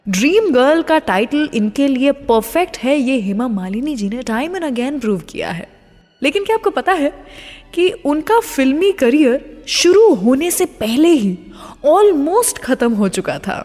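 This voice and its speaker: A woman presents a scripted radio show in a fairly high voice, set in a long reverb. She addresses her listeners directly and teases what is coming next. English phrases are mixed into her speech. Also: sing-song, measured pace, a little mellow.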